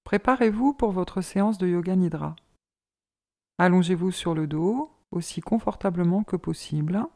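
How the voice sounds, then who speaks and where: A woman leading a guided yoga nidra meditation in a calm, measured, soothing manner. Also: fairly low pitch. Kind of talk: guided meditation, slow scripted instruction.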